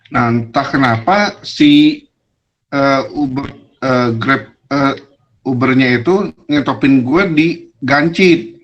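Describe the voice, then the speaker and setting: A young man with a non-Jakarta regional Indonesian accent, telling stories as a podcast guest in a relaxed, rambling way. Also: medium pitch, takes it slowly, long pauses, highs cut off. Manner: laid-back, rambling with fillers and laughs. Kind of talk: casual storytelling chat.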